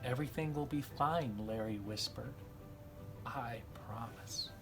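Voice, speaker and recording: A man guest reader reading a children's story aloud in an expressive way. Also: mid-range voice, unhurried, music in the background, rough recording. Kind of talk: reading a picture book aloud.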